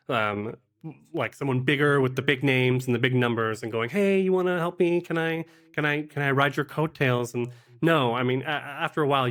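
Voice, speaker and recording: Male podcast guest, mid-pitched, conversational, thinking out loud with a halting, considered delivery. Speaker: podcast guest, game master. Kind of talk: conversational interview talk.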